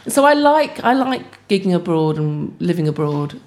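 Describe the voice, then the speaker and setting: A British woman comedian with a fairly low voice, talking quickly in a relaxed podcast chat. She rattles through her stories and laughs often as she goes. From Britain. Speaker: stand-up comedian, podcast guest. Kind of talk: casual interview chat.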